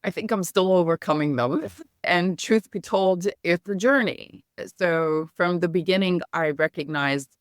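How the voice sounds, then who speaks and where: Smooth-voiced middle-aged woman, American accent. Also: lowish voice, dry recording, studio quality. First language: Hungarian. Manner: measured, reflective storytelling. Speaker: guest, company founder. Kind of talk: podcast interview.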